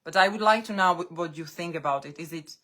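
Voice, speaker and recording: A woman coaching English learners on a live stream. English is her second language, and she speaks deliberately in short phrases with pauses. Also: fairly low pitch, sharp tone. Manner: non-native English speaker.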